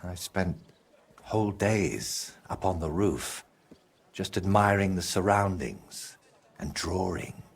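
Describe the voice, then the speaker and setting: An actor playing a troubled, guilt-ridden medieval painter in a video game, with a husky, halting and brooding delivery. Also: male voice, sits low, lively intonation, heavily acted. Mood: regretful. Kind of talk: video game dramatic dialogue.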